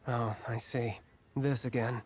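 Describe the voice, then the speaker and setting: A man's voice: mid-range voice, fairly even intonation, measured pace, very noisy, close to an everyday voice. A man voicing a courteous, calculating guild representative in a video game, formal, polite and eloquent.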